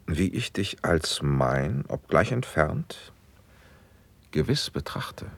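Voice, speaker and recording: An actor playing a gracious, measured prince in a classic German verse radio drama, speaking in formal, deliberate declamation. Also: male voice, rumbling low, very animated, creaky, full character voice. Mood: gracious, fond.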